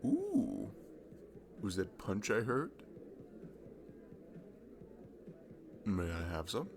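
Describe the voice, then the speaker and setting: A man plays a young college guy in an intimate audio roleplay, friendly and easily flustered. His low voice crackles with fry and swings expressively. He stammers and pokes fun at himself at a slow overall pace. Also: heavily acted. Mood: relaxed, amused. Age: young adult. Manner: hesitant, stammering, self-deprecating. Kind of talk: naturalistic ASMR roleplay acting.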